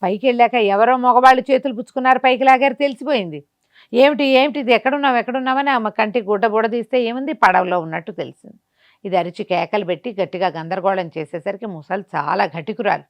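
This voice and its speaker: Animated conversational woman storyteller. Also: medium pitch, quick pace, dull audio. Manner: conversational, asks herself rhetorical questions. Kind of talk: animated oral folk storytelling.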